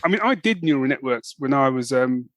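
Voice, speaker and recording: A man's voice: mid-range voice, expressive, brisk, a bit bright, no echo, studio quality. A man, co-hosting a podcast with rambling, colloquial, casual chat about the news. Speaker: podcast co-host.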